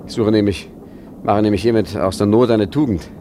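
A man's voice: lowish voice, brisk, mellow, a little hiss or hum, lightly acted. An actor playing an unflappable, rambling male traveller in a German radio play, hesitant and wryly correcting himself. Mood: awkwardly polite. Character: rambling, unflappable, tipsy male traveller recounting his misadventure. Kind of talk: German radio play acting, chatty first-person narration.

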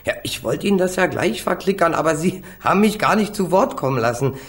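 A man's voice: fairly high pitch, animated, a little fast, natural voice. A man acting a cheeky, street-tough young defendant in a radio crime drama, slangy, insolent and taunting. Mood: cheeky, dismissive.

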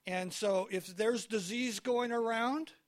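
A man from California with an American accent, preaching a sermon in short phrases and pausing for an interpreter, often restarting his words. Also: high voice. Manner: short phrases with pauses for translation, frequent restarts. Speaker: guest preacher. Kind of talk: sermon delivered through an interpreter.

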